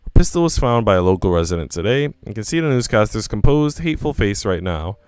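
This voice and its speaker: A man reading as an anxious, animated young woman. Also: fairly low pitch, quick pace, smooth, dry recording. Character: Nana, anxious young woman narrating her troubles. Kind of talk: visual novel first-person reading.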